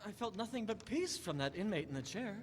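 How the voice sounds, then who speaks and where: A man's voice: sits high, sing-song, fairly quick. A man playing a tormented, nightmare-plagued horror writer in a staged drama, formal and melodramatic in his anguish. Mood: troubled. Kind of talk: audio-drama style stage acting. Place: large convention hall stage. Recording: echoey hall stage recording with noise.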